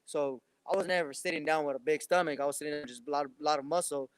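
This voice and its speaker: Young male fighter, quick rambling delivery, dry close sound, casual interview energy. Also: fairly high pitch, fairly even intonation, clean tone, no echo. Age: 20s. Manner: rambling, many restarts and fillers. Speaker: professional boxer.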